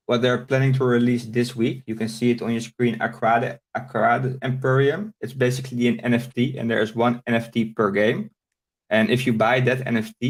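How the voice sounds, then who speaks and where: A man from the Netherlands, Dutch his first language, explaining things as an interview guest with frequent hesitant fillers. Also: mid-range voice. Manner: explanatory, with many filler pauses. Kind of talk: casual interview.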